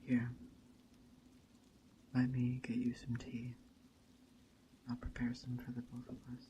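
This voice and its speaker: A man playing a calm, hospitable witch of a woodland coven in a gentle, unhurried ASMR roleplay that drifts into soft whispers. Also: mid-range voice, noisy background, lightly acted. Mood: welcoming, calm. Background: rain and forest ambience.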